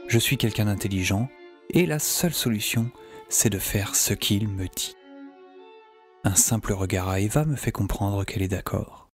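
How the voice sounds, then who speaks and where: An actor playing a wry scientist who narrates his own adventure in the first person, in a measured, confiding way. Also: on the low side, breathy edge, soft background music. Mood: tense, resigned.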